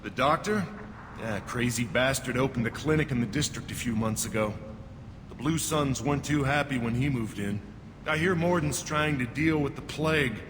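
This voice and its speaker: An actor playing a gruff, cynical alien checkpoint guard in a game, talking in a dry, curt and dismissive way. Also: male voice, medium pitch, moderate pace, lightly acted. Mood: matter-of-fact. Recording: reverberant, with loud background noise.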